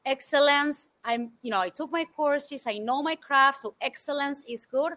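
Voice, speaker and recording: A woman in her forties from Argentina, guest on an interview show, telling stories in an animated, thoughtful way with many fillers. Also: high voice, normal speed. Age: 40s. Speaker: guest speaker. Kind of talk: interview answers, storytelling.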